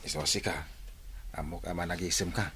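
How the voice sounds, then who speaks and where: A man's voice: low voice, fairly even intonation, moderate pace, sharp tone, slight background noise. A man playing an easygoing, placating young newlywed husband in a radio drama, with an Ilocano Filipino accent. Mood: soothing. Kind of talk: Philippine radio drama acting.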